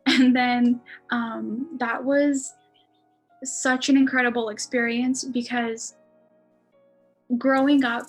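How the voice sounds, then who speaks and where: A woman's voice: a little high, flat delivery, takes it slowly, long pauses, soft background music, poor audio. A woman in her twenties, a native Armenian speaker, casually telling her story as a podcast guest, with lots of "like"s and laughs. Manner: chatty, many "like"s, laughs often.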